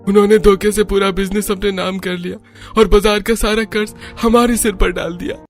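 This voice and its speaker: A man's voice: high-pitched, steady tone, moderate pace, soft background music. A man playing a distraught adult son in a melodramatic cartoon family story.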